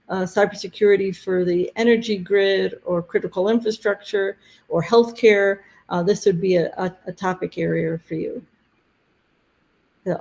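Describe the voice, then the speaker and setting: Woman presenting a webinar, hoarse. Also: lowish voice, darkish tone, rough recording. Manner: halting, many fillers and restarts. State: losing her voice. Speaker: conference program presenter.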